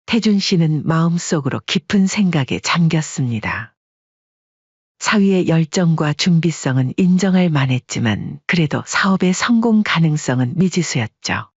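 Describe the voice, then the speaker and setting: A woman reads a family drama aloud with a steady storytelling tone and a breathy voice. The recording is dry and somewhat dull, without room sound. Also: low-pitched, moderate pace, dry recording. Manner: even, storytelling delivery. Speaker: narrator. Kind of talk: scripted story narration.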